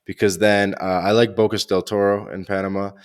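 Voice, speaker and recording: Deep-voiced chatty podcast host, a man. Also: level tone, a little fast. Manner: enthusiastic, chatty, hesitant fillers like "uh" and "like". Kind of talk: casual interview chat.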